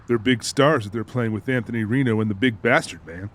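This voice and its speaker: A man's voice: lowish voice, a little fast, a bit bright. An actor playing an exasperated, wisecracking everyman in an audiobook, with dry, scolding banter. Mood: casual. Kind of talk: audiobook character acting.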